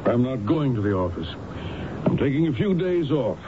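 A man's voice: medium pitch, big pitch swings, moderate pace, close to an everyday voice. An actor playing a determined, insistent husband in a radio drama, firm and pressing in his talk. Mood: resolute. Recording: noisy, muffled vintage radio broadcast.

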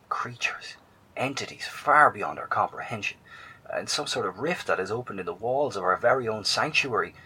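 A man's voice: on the low side, sharp tone, husky, heavily acted, poor audio. An actor playing a grave, hesitant male broadcaster who talks to survivors and often drops to a whisper. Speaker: narrator.

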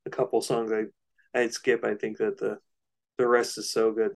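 Male podcast co-host, flat intonation, lowish pitch, informal explainer in relaxed conversational phrasing. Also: moderate pace, gravelly. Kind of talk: casual podcast discussion.

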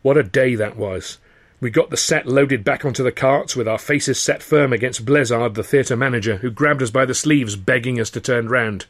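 A man's voice: medium pitch, moderate pace, fairly bright tone. A man narrating his own comic novel as an audiobook, in a wry storytelling manner. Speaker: author and narrator.

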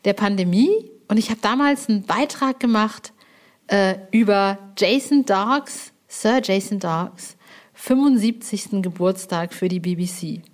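A woman presenting a podcast in a chatty, informal style, playful, effusive and colloquial. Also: mid-range voice. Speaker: podcast host.

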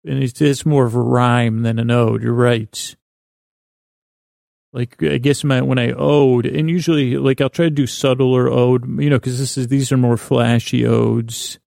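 A man with a creaky voice hosting a sleep podcast, delivering a meandering, halting bedtime monologue with whimsical, self-deprecating digressions. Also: medium pitch, fairly even intonation, a little mellow, dry recording, clean studio sound. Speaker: podcast host. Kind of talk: rambling bedtime monologue to help listeners sleep.

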